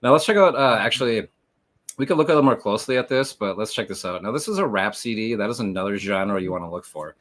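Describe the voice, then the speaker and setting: Male livestream host, on the low side, conversational explainer with a hesitant, meandering flow. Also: expressive, fast, fairly bright tone.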